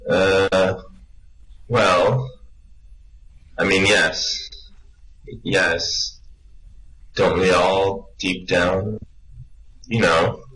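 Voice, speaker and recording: A man's voice: low voice, steady tone, very slow, pauses often, a bit bright, full character voice, rough recording. A man playing a bluffing, placating alien traveller in an amateur radio play, with hesitant phrasing. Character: quick-witted friend from another planet, coaxing and bluffing.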